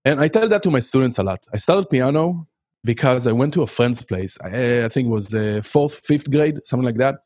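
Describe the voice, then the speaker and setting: A man's voice: medium pitch, lively intonation, a little fast, a little mellow, no echo, studio quality. A man in his thirties from Israel with an Israeli accent, chatting as an interview guest and telling stories with many fillers and restarts. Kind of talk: casual interview chat.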